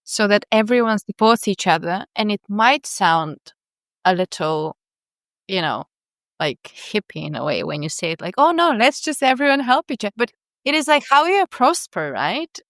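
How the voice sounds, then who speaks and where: A woman's voice: mid-range voice, big pitch swings, normal speed, fairly bright tone, no room sound, studio quality. A woman hosting a podcast interview, talking reflectively and often checking in with tag questions. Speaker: podcast host. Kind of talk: conversational interview.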